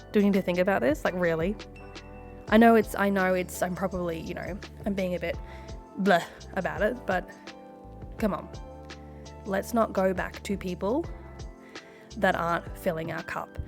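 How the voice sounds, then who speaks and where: Casting: young woman host, croaky from a cough, sassy reaction commentary, faint music behind. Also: mid-range voice, a little mellow. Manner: sassy, laughs often, mutters asides. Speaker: host reading and reacting to online posts.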